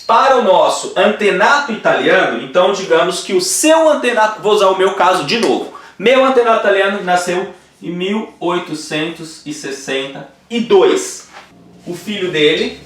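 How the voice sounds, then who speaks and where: A man in his forties from Brazil explains things at a whiteboard in a high, animated voice. He pauses often to check that the listener is following. The room around him is echoey. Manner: patient, checks in with the viewer often. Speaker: online course instructor.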